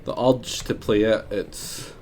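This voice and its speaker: A man's voice: on the low side, fairly even intonation, takes it slowly, a little mellow, faint music behind. A man with a Scottish accent giving casual, chatty commentary as he plays a game live. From Scotland. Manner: chatty, muttering to himself. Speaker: streamer.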